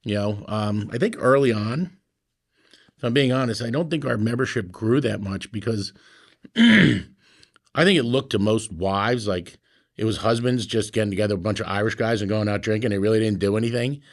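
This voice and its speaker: A man's voice: lowish voice, normal speed. Wry middle-aged man telling stories. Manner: wry, storytelling, frequent fillers. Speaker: founding member of a charity club. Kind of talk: casual group chat.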